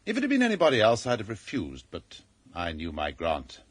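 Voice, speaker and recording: A man's voice: lowish voice, huge pitch swings, fairly quick, dry recording. A man playing an earnest, authoritative British intelligence chief in a radio drama, formal and clipped in manner. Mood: earnest, brisk.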